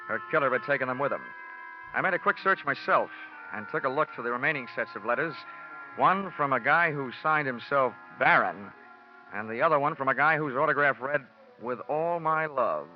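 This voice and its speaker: Male actor as tough, sardonic gumshoe narrating his case; mid-pitched, slangy radio-play style, music underneath. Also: lively intonation, moderate pace, lightly acted. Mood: tense narrating. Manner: wry, clipped, slangy tough-guy delivery. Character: wisecracking, hardboiled, unflappable male private investigator. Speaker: actor playing lead detective and narrator. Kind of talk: classic hardboiled detective radio play acting. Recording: muffled vintage radio recording.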